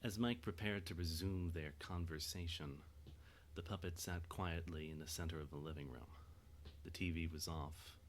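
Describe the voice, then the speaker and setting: A man's voice: deep voice, fairly even intonation, moderate pace, slight background noise. A man delivering dramatic scripted narration as the narrator of an audio drama.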